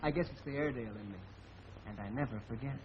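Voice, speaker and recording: A man's voice: medium pitch, rapid-fire, lightly acted. An actor playing an earnest, bashful young man with a self-deprecating manner in 1930s melodrama style. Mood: joking, bashful. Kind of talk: 1930s melodrama film acting. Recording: muffled, very noisy old film soundtrack.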